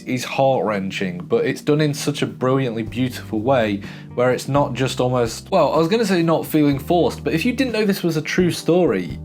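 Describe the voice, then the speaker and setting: A man's voice: medium pitch, a little mellow. A man hosting a casual film review, talking emphatically with frequent restarts while recovering from a sore throat.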